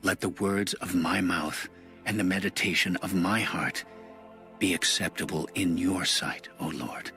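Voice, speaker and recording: A man giving a solemn, formal and measured reading of scripture as an audio Bible narrator. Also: sits low, steady tone, sharp tone, faint music behind.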